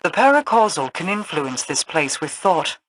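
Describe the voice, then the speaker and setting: An actress playing a regal, commanding queen of a mystical people in a video game, speaking in a formal, measured manner. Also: sits low, sing-song, noisy background. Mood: grave, imperious.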